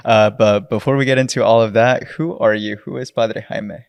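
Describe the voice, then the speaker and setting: A man's voice: lowish voice, steady tone, moderate pace, gravelly, no echo. A man hosting a podcast, asking friendly questions with many fillers. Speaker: podcast host. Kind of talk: casual interview questions.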